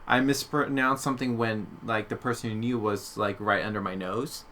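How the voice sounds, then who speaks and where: A man's voice: fairly low pitch, steady tone, moderate pace, bright, forceful tone. A man talking casually and conversationally as a podcast co-host. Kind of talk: casual chat.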